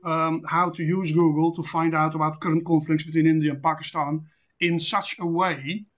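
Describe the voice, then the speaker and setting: A man with a Dutch accent, a native Dutch speaker, presenting an online lecture in a measured, deliberate, didactic way. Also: a little high, fairly bright tone. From Netherlands. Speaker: presenter, intelligence specialist. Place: online presentation with screen share. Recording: dull lo-fi online call audio.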